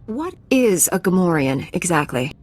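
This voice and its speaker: A female knight heroine in a sci-fi video game. Her voice is fairly low, and she speaks in a terse, serious, resolute way. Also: sing-song, normal speed, a little mellow. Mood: serious, wary. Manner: direct, terse, questioning.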